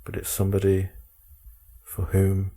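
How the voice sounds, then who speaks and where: Low, soft-edged husky man guiding meditation. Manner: measured, calm delivery with short phrases and pauses. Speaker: meditation guide. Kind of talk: guided meditation instruction.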